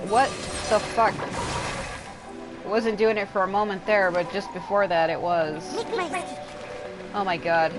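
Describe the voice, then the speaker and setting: A woman gives casual commentary over her gameplay, with music clearly audible behind her. Her voice sits at an average pitch for a woman. She rambles and grumbles bluntly, with plenty of swearing. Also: steady tone, a little slow, pauses often. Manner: rambling, complaining. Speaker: livestreamer playing a video game.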